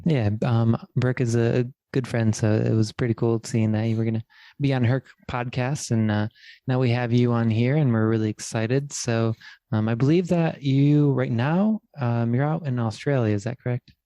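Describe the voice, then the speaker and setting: Mellow-voiced male podcast co-host. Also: medium pitch, moderate pace, no room sound. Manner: laid-back, filler-heavy, laughs easily. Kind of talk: casual interview chat.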